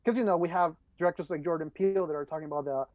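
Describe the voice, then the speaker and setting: A man's voice: a little high, racing, dry recording. A man from El Salvador, talking casually as the host of an interview podcast. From El Salvador, moved to the United States as a child. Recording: dull, dry lo-fi microphone.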